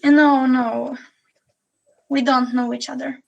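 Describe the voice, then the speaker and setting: Slow, flat-voiced young woman student. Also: sits high, creaky, highs cut off. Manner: brief, shy answers. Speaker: student learning English. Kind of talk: casual conversation.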